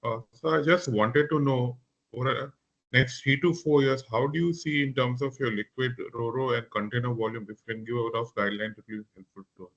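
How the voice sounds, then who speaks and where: A man's voice: medium pitch, steady tone, a little fast, a bit bright. A man politely and deferentially asking a question as an analyst on an earnings call. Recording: dull, lo-fi phone audio.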